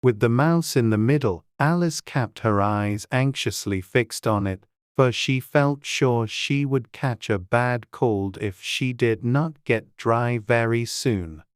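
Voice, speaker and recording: A man narrating a classic children's story in a gentle, even storytelling manner. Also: mid-range voice, slow, few pauses, smooth, no echo, clean studio sound.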